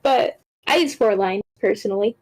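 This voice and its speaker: A woman's voice: medium pitch, moderate pace, a little mellow. A woman giving casual, rambling script feedback in an informal way, peppering it with "like". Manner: informal, rambling, frequent "like" and swearing. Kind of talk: casual spoken critique.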